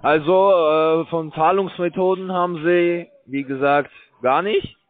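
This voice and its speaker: Pushy scam caller on a tinny phone line. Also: male voice, a little high, fairly even intonation, measured pace, faint music behind. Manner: broken German, filler-heavy, pushy salesman. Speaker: scam call center caller. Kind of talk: phone call.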